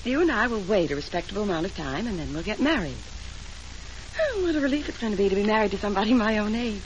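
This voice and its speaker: Casting: woman playing coquettish, menacing young femme fatale, medium-pitched, self-assured, old radio drama with slight noise. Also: very animated, quick pace, natural voice. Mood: breezy, coy. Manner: self-assured, teasing, cold. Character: cool, scheming young widow, coquettish and menacing. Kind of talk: 1940s radio melodrama acting. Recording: noisy old radio transcription.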